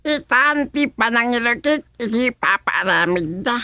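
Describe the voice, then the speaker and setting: Woman acting a sly, high-voiced scheming henchwoman. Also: expressive, unhurried, bright, forceful tone, no echo. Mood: sly, gloating. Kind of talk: radio drama comedy acting.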